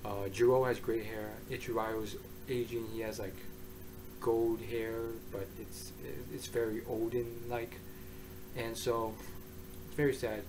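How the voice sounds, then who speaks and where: Man, hoarse, mid-pitched, excitable, rambling, casual review monologue. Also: measured pace, noisy background. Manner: excitable, rambling, with many restarts. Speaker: manga reviewer.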